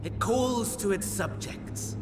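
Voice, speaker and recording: A man's voice: high-pitched, lively intonation, slow. An actor playing a smug, scheming imperial servant in a video game, grandiose and mock-reverent as he savors each phrase. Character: theatrical, smug villain and scheming male servant of empire. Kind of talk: dramatic video game cutscene acting. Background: background music.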